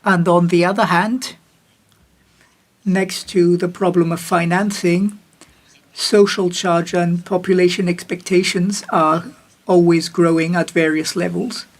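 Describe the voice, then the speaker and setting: A woman giving a simultaneous interpretation of a panel chair's remarks, measured, with occasional restarts and self-corrections. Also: fairly low pitch, steady tone. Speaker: conference interpreter.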